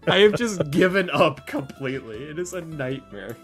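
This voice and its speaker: A young man chatting casually as a podcast guest, slangy, animated and jokingly exasperated about himself. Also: fairly high pitch, normal speed, over music. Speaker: ASMR roleplay audio creator, podcast guest.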